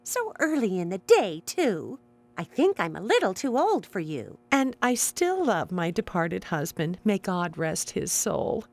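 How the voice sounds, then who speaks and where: A kindly old American innkeeper from a video game. She is genteel, chatty and fluttery, and her pitch swoops wildly up and down as she fusses. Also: sing-song, a little slow. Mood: flustered, coy. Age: older. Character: kindly, fussy elderly widowed female innkeeper. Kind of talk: scripted video game voice acting.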